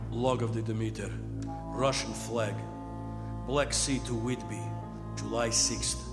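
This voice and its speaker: A man's voice: mid-range voice, fairly even intonation, slow, close to an everyday voice. A man playing a grave, weary ship's captain, reading log entries in clipped phrases in a radio drama. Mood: solemn, measured. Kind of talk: old-time radio drama acting. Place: performing arts theatre stage. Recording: long hall reverb, loud music behind.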